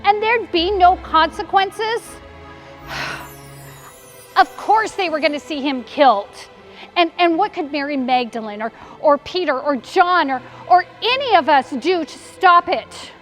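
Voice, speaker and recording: Woman playing despairing woman disciple, high-pitched, slow, halting, frightened and bitter, theatrical monologue, faint music. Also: lively intonation. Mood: anguished despair. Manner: halting, self-questioning, anguished. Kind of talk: dramatic stage monologue.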